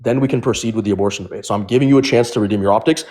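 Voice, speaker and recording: A man's voice: fairly low pitch, rapid-fire, a little mellow. A man in a heated online debate, aggressive and insulting, pushing his opponent for a yes or no.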